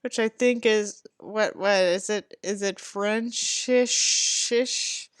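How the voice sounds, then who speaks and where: A woman's voice: medium pitch, fairly even intonation, slow pace, clear, resonant tone, no echo, clean studio sound. A woman doing a sarcastic dramatic reading with asides, theatrical and sardonic, given to swearing. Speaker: host reading a book aloud with commentary.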